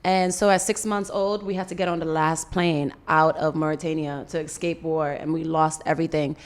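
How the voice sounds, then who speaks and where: A woman's voice: low voice, flat delivery, moderate pace. A woman from Senegal who grew up partly in the Bronx, giving a casual, earnest and reflective radio interview as a musician in a studio. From Senegal, then the Bronx, USA.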